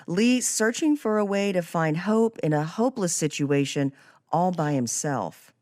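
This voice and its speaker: Low-voiced woman hosting a podcast. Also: measured pace, a little mellow, no room sound, studio quality. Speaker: podcast host. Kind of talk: conversational interview about a novel and faith.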